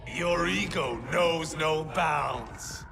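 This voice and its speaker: Envious villain, gravelly, slow, swooping pitch, menacing, scornful, game cutscene. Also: male voice, noisy background, exaggerated voice. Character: resentful, envious male villain rival of the hero. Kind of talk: dramatic video game villain acting. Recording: music behind the voice.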